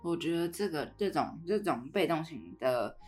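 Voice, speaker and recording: A woman's voice: low voice, expressive, moderate pace, faint music behind. A woman with a Taiwanese Mandarin accent, speaking conversationally as a podcast co-host.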